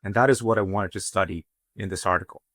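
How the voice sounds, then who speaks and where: A man from Bulgaria, a guest professor, answering interview questions in a measured, explanatory way with frequent pauses and "um". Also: sits low, a bit bright, no room sound, studio quality.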